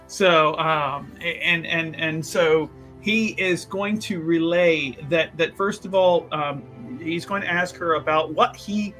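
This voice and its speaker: Bright-voiced man narrating a tabletop game. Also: fairly high pitch, fairly even intonation, slow pace, few pauses, faint music behind, rough recording. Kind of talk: improvised narration of his character's actions.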